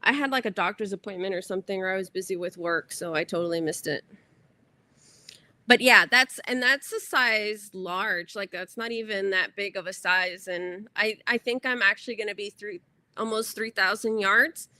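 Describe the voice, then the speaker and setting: Chatty bright-voiced woman crochet host. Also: medium pitch, normal speed, no room sound. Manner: chatty, rambling, self-correcting. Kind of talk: casual livestream chat.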